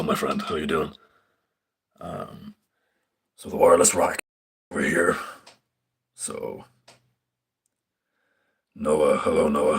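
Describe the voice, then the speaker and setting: Young man, very deep husky voice, flat delivery, casual rambling tutorial with swearing, phone mic. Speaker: stage tech student. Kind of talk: casual live screen walkthrough. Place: indoors at a screen. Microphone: phone filming a screen.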